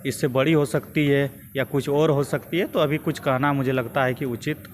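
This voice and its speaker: A young man with a Jharkhand Hindi accent answering as a political activist in an interview, in a measured, hesitant way with repeated phrases. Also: medium pitch, fairly even intonation, a little hiss or hum. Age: young adult.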